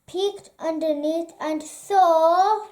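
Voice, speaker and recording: Very slow, high-pitched storybook reader. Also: steady tone, a little mellow. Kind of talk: children's storybook read-aloud.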